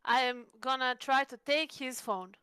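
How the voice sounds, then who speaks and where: A woman's voice: high-pitched, steady tone, unhurried, pushed and bright, slight background noise. A woman chatting casually as a player in a tabletop roleplaying game.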